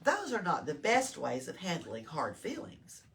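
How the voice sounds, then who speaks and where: A woman's voice: low voice, huge pitch swings, a little slow, creaky, a bit bright. An older woman reads a story aloud to young children, explaining simply and prompting them with questions. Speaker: library storytime reader. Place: small-town library. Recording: distant mic with background noise.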